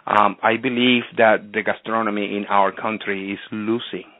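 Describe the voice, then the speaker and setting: A man from Venezuela speaks with earnest enthusiasm in a lowish voice, sometimes stumbling and repeating words as he explains himself. He comes through a tinny phone line with a dry sound. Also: little pitch movement, moderate pace, no room sound. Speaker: chef and restaurant owner, guest. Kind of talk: phone-in radio interview.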